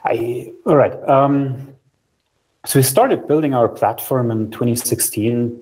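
A young man presenting a technical platform over a video call, steady and explanatory with frequent ums, in a small room. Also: medium pitch, rough recording. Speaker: software engineer presenting a research platform. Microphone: video call, webcam framing.

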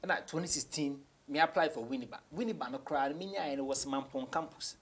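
A young Ghanaian man, a rapper being interviewed, talking casually in a husky voice and mixing English with Twi. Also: on the high side, fairly quick, slight background noise. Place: small radio studio office.

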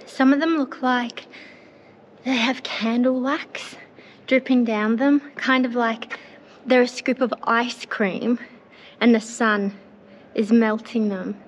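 A young woman narrating a travel vlog in a bright, enthusiastic, chatty way, filming herself on an action camera held at arm's length. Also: on the high side. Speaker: travel vlogger.

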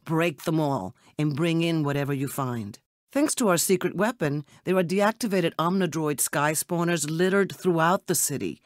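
An actress playing a poised, elegant secret agent in a video game, speaking with formal, composed politeness. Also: female voice, sits low, normal speed, no echo, clean studio sound, close to an everyday voice. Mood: composed, businesslike. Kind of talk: scripted video game character dialogue.

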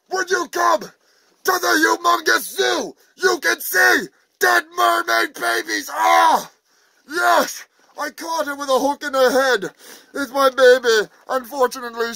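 A man's voice: extremely high pitch, vocal fry, pushed and bright, no room sound, exaggerated voice, rough recording. A man playing a manic, meth-smoking roadside zoo owner who rants profanely and boasts like a showman. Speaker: comedian in character. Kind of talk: crude sketch comedy monologue.

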